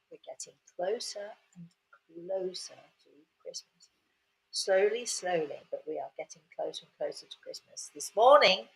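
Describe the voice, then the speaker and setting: A woman's voice: mid-range voice, pushed and bright, rough recording. An older woman hosts and tells a casual, rambling story. She talks in a chatty way, repeating words and adding cheerful asides. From England. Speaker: host.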